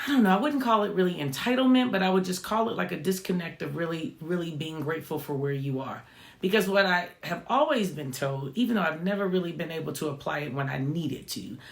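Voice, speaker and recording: Gravelly low-voiced woman vlogger. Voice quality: low voice she calls not feminine. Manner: conversational, often adding "right?" tag questions. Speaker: channel host. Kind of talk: reflective motivational vlog talk.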